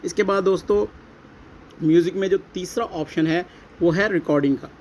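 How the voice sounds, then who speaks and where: Higher-voiced Pakistani man giving a tutorial. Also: moderate pace, a little mellow. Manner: repetitive explaining, addresses viewers as friends. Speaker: tutorial host. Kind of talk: step-by-step app tutorial narration. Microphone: voice-over recorded alongside phone screen recording. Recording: dull lo-fi audio, faint background noise.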